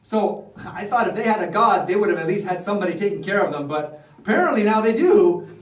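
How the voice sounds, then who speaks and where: A man playing a boastful, chatty ancient Egyptian chief magician, telling a Bible story in animated, casual modern speech. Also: high-pitched, a little fast. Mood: smug, boastful. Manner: animated, conversational, acting out the other characters. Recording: echoey room, far from the mic.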